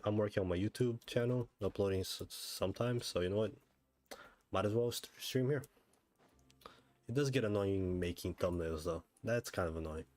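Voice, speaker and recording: Lowish-voiced man livestreaming casually. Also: darkish tone, no echo. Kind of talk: casual gaming stream chat. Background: game music.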